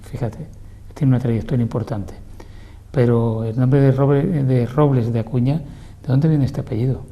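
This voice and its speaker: An older man hosts a TV interview show and guides the talk in a courteous, leisurely way. His voice is very dark and mellow, set at a middle pitch. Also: fairly even intonation, normal speed. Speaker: TV program host. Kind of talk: interview host chat. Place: TV studio.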